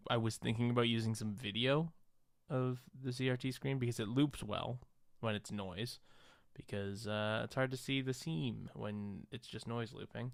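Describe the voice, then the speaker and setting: A man's voice: medium pitch, vocal fry, dry recording, clean studio sound. A man casually narrating his work on a livestream, musing and thinking aloud in hesitant fragments. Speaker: streamer and visual artist. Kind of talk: casual livestream commentary while working on screen.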